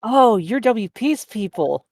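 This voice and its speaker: Woman playing lively young studio assistant girl. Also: a little high, slow pace. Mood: concerned. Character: young female studio assistant, chatty, earnest, easily affronted. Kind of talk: amateur group voice-over of a video game.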